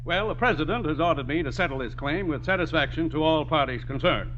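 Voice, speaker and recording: A man's voice: on the high side, fast, fairly bright tone, lightly acted. An actor playing an officious insurance claims man in a radio comedy, with a formal, businesslike, emphatic delivery. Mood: formal, conciliatory. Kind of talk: 1950s radio comedy acting. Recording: muffled, hissy 1950s radio recording.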